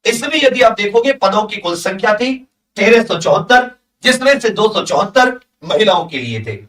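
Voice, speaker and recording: Very high-pitched man teaching, echoey room. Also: animated, normal speed, fairly bright tone. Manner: itemizing, mixes in English technical terms. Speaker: exam coaching teacher. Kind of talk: lesson, reading through a syllabus list with brief comments. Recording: echoey room, distant microphone.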